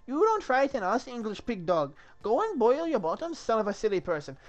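A man's voice: very high voice, big pitch swings, fairly quick, muffled recording, soft background music, voice pushed up. A man voicing a rude, pompous castle guard who is haughty and insulting, in a comic script reading. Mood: mocking, insulting.

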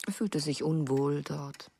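An actress playing a genteel, effusive American wife in a German radio drama, with husky, affected, theatrical delivery. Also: female voice, sits low, unhurried, warm tone, exaggerated voice. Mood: haughty. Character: genteel, effusive American wife reminiscing, dominating her husband.

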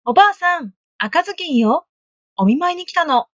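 A woman voicing a cheerful, innocent little girl in a storybook reading, with bright sing-song questions. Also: very high-pitched, animated, normal speed, dry recording, lo-fi sound, pitched above the performer's own voice. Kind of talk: storybook read-aloud.

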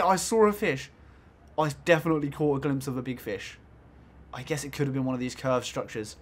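Giggly young gamer guy, kinda croaky, voice jumps all over the place, desk mic. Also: on the high side, slight background noise. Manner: giggly, swings from mumbling to excited shouts. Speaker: gaming YouTuber. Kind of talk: excitable let's-play commentary.

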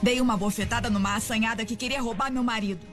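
An actress with a Brazilian accent dubbing an elegant, scheming telenovela sister-in-law, coaxing and insistent. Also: female voice, on the high side, steady tone, fast, fairly bright tone, close to an everyday voice. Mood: firm, conspiratorial. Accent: Brazilian Portuguese. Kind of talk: dubbed telenovela acting. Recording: music under dubbed dialogue.

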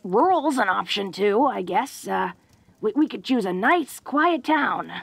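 A woman's voice: medium pitch, animated, a little slow, creaky, breathy edge, dry recording, full character voice. A woman playing a tough, protective, secretly awkward young orc woman in an intimate audio roleplay, with rustic slangy speech and a bashful stammer. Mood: awkward, earnest.

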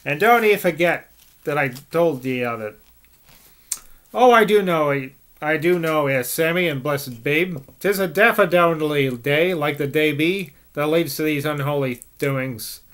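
A shrill, scolding old servant woman rattles on in broad West Country speech, loud and defiant, as a man puts her on. Her voice rides higher and louder than the reader's own, full of stubborn rustic grumbling from a storybook. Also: measured pace. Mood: scornful. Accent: put-on West Country rustic dialect. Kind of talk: amateur audiobook reading.

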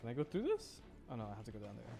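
A man's voice: mid-range voice, huge pitch swings, very fast, vocal fry, dark tone. A man streaming a video game, reacting casually and thinking aloud in short exclamations. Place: livestream voice-over with game music and sounds behind.

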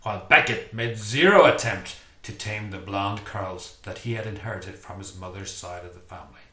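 A man's voice: fairly low pitch, moderate pace, sharp tone. An older Irish man, an author, reading a passage from his book aloud in a playful, self-deprecating storytelling manner at home. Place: home study with bookshelves, indoors. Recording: echoey room.

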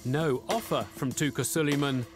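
A man giving scripted voice-over narration for a business show, measured and dramatic. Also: mid-range voice. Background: background music.

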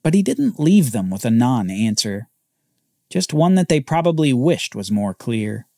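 A man hosting a podcast, telling a Bible story as scripted narration with dramatic pauses. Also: mid-range voice, sing-song, vocal fry, warm tone, dry recording, studio quality.

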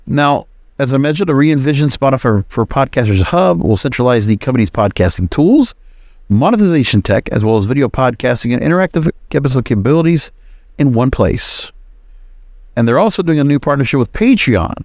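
A man with a medium-pitched voice delivers solo podcast commentary. He talks conversationally and emphatically, like an informal host sharing his opinions. The sound is dry, with very little room in it. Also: sing-song, a little fast, darkish tone, dry recording.

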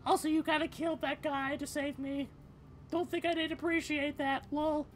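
High-voiced woman reading game dialogue aloud. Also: flat delivery, normal speed, faint music behind. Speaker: game streamer voicing characters.

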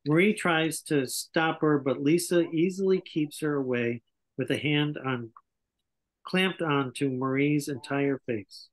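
A man's voice: fairly high pitch, unhurried. A man in his sixties reading scripted stage directions as narrator at an online table read. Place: small home room, indoors, on a video call. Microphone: computer video call.

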